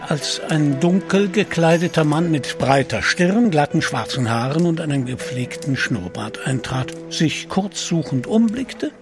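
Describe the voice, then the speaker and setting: A man narrating a German audio drama in a measured, literary storytelling manner. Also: a little high.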